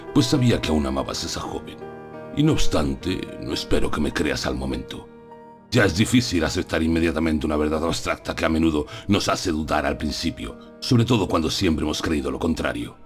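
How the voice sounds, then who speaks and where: A man's voice: a bass voice, animated, a little fast. A man playing a solemn, persuasive older professor in a dramatized audiobook. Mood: pained, solemn. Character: solemn, persuasive older male professor confiding terrible truths. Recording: music under the voice.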